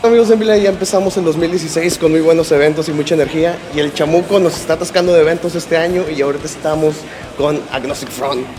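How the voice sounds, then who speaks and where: A man hosting an interview and asking questions in halting, hesitant English. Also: fairly high pitch, steady tone, over music. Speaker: interviewer host.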